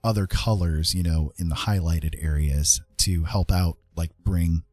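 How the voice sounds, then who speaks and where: A man chatting casually on an art livestream, thinking aloud in a rambling, halting way as he works. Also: low-pitched, warm tone, dry recording. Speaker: livestream host, digital artist. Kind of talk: casual chat while drawing on a livestream. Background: background music.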